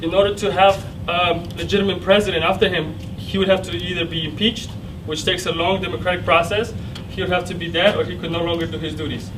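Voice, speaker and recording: Young Ukrainian-accented man, native Russian speaker, student presenter, somewhat high voice, recorded far off in a lecture room. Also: steady tone, fast talker, hardly any pauses. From central Ukraine. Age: young adult. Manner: explanatory, with frequent fillers and restarts. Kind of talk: classroom presentation with slides. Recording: distant mic with clear background noise.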